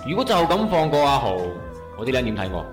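A man playing a lively, proud gang boss. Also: medium pitch, quick pace, lightly acted. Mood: stern. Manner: swings from hurt to stern lecturing. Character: male gang boss, proud, wounded, stubborn. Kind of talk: comedy radio drama acting. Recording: echoey room with music underneath.

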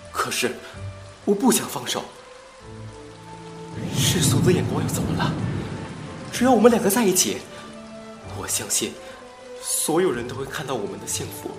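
Guy voicing young lovestruck man, earnest and tender, pitch moves a lot, loud music behind. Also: reverberant, natural voice. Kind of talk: Chinese amateur audio drama acting.

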